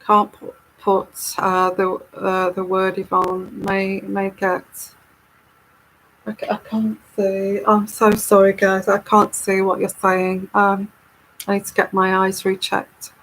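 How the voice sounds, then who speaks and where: Slow, calm-voiced woman. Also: medium pitch, low-quality recording. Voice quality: calming, soothing voice. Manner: unhurried, chatty and rambling. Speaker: tarot reader and live-stream host. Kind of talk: casual live-stream talk reading viewer comments.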